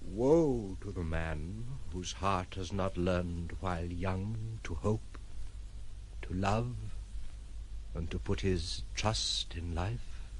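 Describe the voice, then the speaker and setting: An actor playing a grave, grief-stricken gentleman lover in an audio drama, speaking with restraint. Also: deep voice, takes it slowly, a little hiss or hum, low-quality recording. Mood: desolate.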